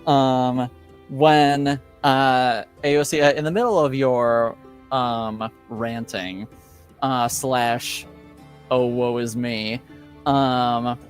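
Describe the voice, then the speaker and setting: A man narrating a tabletop roleplaying game as game master, pausing often with ums and uhs. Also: mid-range voice, fairly even intonation, faint music behind. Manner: halting, lots of ums and uhs.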